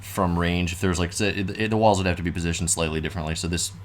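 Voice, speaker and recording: A young man, slightly hoarse, casually thinking aloud and explaining board game tactics with many fillers. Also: deep voice, steady tone, fast talker. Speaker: board game show co-host.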